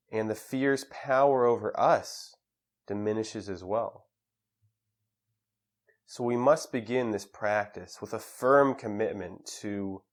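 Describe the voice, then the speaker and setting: A man leading a guided meditation in a calm, measured way with pauses between phrases. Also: lowish voice.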